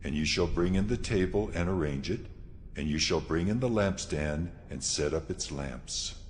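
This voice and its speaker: A man as a very deep, commanding God. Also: moderate pace, slight background noise, natural voice. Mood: solemn, commanding. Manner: solemn, commanding, declamatory. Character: the Lord God, solemn commanding divine voice. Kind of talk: dramatized scripture audio.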